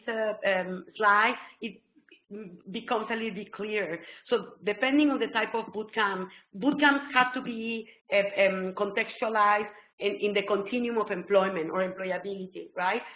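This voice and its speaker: A middle-aged woman with a Mexican Spanish accent, a native Spanish speaker, gives a webinar presentation over a laptop webcam. She explains in an excitable way, with many ums. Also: medium pitch, moderate pace. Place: small indoor room. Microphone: laptop webcam video call. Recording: tinny phone-like echoey webcam call.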